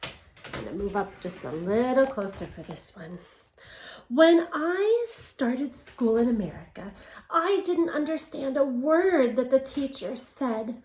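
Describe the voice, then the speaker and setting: Bright-voiced teacher reading aloud. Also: female voice, sits high, slow pace. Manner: expressive storytelling, asks children questions; alternates English and Spanish readings. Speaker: elementary school teacher. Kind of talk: bilingual picture-book read-aloud. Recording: echoey room.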